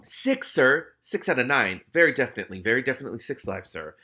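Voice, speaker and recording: Man voicing a wily cat, lively and sardonic. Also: medium pitch, pitched above the performer's own voice. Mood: nervous, deferential. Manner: quick-fire, hedging, sardonic. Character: wily talking male cat, streetwise, grumbling, anxiously deferential.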